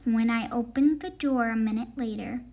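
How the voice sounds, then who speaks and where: A woman reading a children's story aloud, narrating expressively with dramatic, deliberate phrasing. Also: on the high side, gravelly, dark tone. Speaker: storybook reader.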